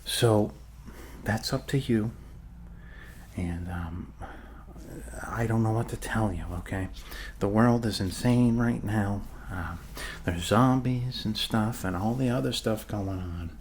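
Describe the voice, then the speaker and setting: Low-pitched, unhurried, rambling doctor played by a man. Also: a little mellow, slight background noise. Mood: joking, reassuring. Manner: rambling, hesitant, joking asides, reassuring. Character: friendly rambling male doctor treating a zombie bite. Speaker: ASMR roleplay performer. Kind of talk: ASMR roleplay, improvised soft bedside talk.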